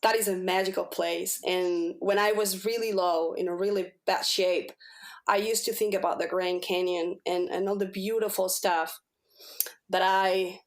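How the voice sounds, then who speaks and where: A woman's voice: on the low side, fairly even intonation, moderate pace. Earnest woman, native Spanish speaker, sharing her story. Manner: earnest, searching for words, repeats herself. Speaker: podcast guest. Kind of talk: personal testimonial in casual conversation.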